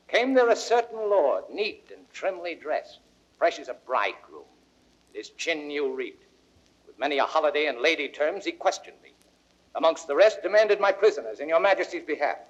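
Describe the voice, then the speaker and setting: A fiery young warrior lord. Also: male voice, a little high. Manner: emphatic, declamatory. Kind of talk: classical Shakespearean radio acting. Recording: dull vintage audio recording.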